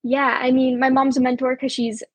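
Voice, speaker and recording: A teenage girl from Colorado talks through an interview in an upbeat, chatty way, dotting her answers with ums and soft laughs. Her voice sits a little high with a husky, breathy edge. The sound is dry and close. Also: little pitch movement, normal speed, no room sound. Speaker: auctioneer guest. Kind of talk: casual interview chat.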